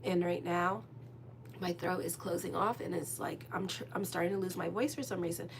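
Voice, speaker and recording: A woman's voice: on the low side, steady tone, moderate pace, creaky, a little hiss or hum. A woman doing a casual tarot horoscope reading as an astrologer, rambling and chatty with lots of fillers and a direct manner. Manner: frank and direct with listeners.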